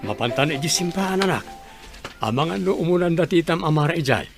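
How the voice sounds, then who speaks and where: A man's voice: a little high, expressive, quick pace, creaky, music in the background. A man acting a doting middle-aged father in a radio drama, speaking in a fond, coaxing way.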